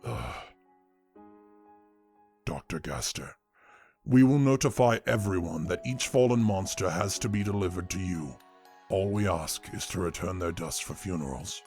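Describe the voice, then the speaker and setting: A man playing a principled, soft-hearted monster king in a comic dub, with a solemn, emphatic, regal delivery. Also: sits low, expressive, measured pace, long pauses. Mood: weary, resigned. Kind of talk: dramatic comic dub acting. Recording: music behind voice.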